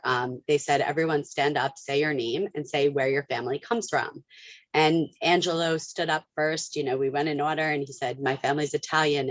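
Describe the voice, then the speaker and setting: A woman's voice: low-pitched, dry recording. A woman interview guest telling personal anecdotes in an informal, conversational way.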